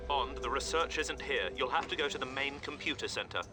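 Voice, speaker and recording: An actor playing a brisk, formal intelligence officer briefing an agent in a video game. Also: male voice, medium pitch, music in the background, natural voice. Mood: businesslike. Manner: formal, businesslike. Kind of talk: scripted game voice acting.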